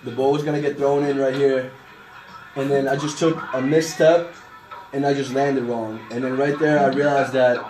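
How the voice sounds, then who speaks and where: A man's voice: medium pitch, little pitch movement, moderate pace, darkish tone, soft background music. A young man from Ecuador giving a casual class presentation, rambling with lots of "like" and fillers. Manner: rambling, filler-heavy, "like" repeated. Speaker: student presenter. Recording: echoey room with background hiss.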